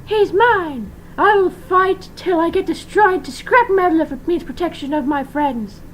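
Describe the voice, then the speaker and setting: Casting: female animatronic fox played by a narrator, high and loud, devoted, fiercely pleading, noisy home-style recording. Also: unhurried. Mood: sad, defiant.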